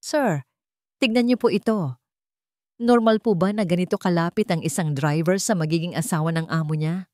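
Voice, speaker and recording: A woman's voice: fairly low pitch, normal speed, darkish tone, no echo, natural voice. A woman narrator acts as a determined female household employee in a storybook drama. She speaks respectfully but presses her point with urgency. The sound is dry and close. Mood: urgent, firm. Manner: polite but insistent.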